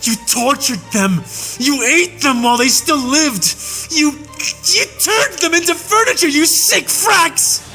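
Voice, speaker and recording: A man playing an enraged human soldier, tied up and hurling a breathless, shouted tirade of hatred in an audiobook. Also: high-pitched, fairly even intonation, unhurried, creaky, hardly any pauses, faint music behind, voice pushed up, heavily acted, rough recording. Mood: raging. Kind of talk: audiobook reading.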